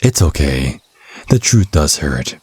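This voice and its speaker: Man acting a very deep, smug vampire captive. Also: big pitch swings, slow, breathy edge, heavily acted. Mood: menacing, mock-soothing. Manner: sardonic, mock-polite, teasing with pet names. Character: captured male vampire hunter, smug, taunting, cunning. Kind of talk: ASMR roleplay audio drama.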